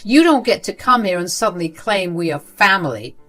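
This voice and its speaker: A furious, defiant young woman who refuses to be manipulated, as a woman plays her. Her voice is sharp and loud, with faint music behind it. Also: fairly low pitch, animated, a little fast. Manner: sharp, angry.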